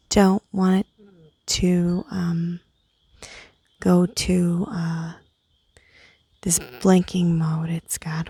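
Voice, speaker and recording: A young woman whispering a guided meditation outdoors at night into a small microphone, soft and unhurried with fillers. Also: mid-range voice, vocal fry, husky, no room sound, clean studio sound. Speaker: meditation host. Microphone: small handheld microphone.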